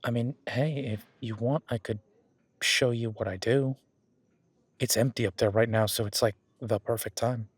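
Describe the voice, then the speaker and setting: A man acts out an easygoing male werewolf who loves the gym and supports his partner. He speaks at a medium pitch in a casual, conversational way, reassuring and rambling through his own corrections. The audio roleplay is recorded very dry, with hardly any room around the voice. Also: fairly even intonation, normal speed, husky, dry recording, natural voice. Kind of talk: conversational one-sided roleplay acting.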